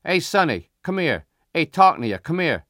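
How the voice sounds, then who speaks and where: A male narrator acts out a kindly but gruff grocer from a storybook. He talks in blunt, clipped bursts with tag questions. The voice is clear and dry, lifted above the reader's usual tone. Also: mid-range voice, normal speed, a bit bright, no echo, pitched above the performer's own voice, close to an everyday voice. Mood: calling out. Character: gruff, kindly Italian immigrant grocer, adult man.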